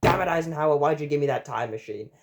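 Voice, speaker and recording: A man's voice: on the high side, fast talker, pitched above the performer's own voice, lightly acted. A man voicing a petty, grumpy male president in a comic storybook, emphatic and indignant. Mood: petty anger.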